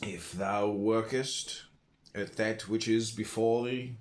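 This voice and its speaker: A man reading an old philosophy book aloud in measured phrases, pausing between them. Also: on the low side, fairly even intonation, a little hiss or hum. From United States. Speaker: audiobook reader.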